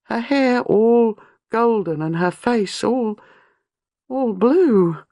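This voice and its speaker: A woman's voice: on the high side, sing-song, normal speed, dry recording, voice pushed up. A woman reading an audiobook, voicing a frightened, distraught young woman who talks in breathless, pleading bursts. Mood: terrified. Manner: breathless, pleading, rising panic.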